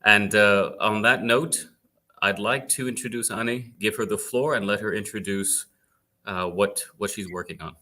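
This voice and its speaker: A man hosting an online fireside chat interview, talking haltingly and often repeating words as he introduces the guest. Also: lowish voice, pushed and bright. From United States. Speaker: interview host.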